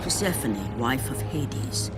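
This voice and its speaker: A woman narrating an epic video game story in a grave, solemn storytelling manner, with loud music behind her. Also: extremely low pitch, expressive, takes it slowly, heavily acted.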